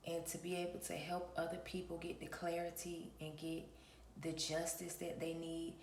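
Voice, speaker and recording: A woman with a gravelly, just-woken voice talks in a flat, unhurried way. She speaks like a preacher to her channel's viewers and often stops to clear her throat. The room sounds echoey, with a faint hiss behind her. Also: fairly low pitch. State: raspy from early morning. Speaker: spiritual reader and channel host. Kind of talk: early-morning casual vlog address.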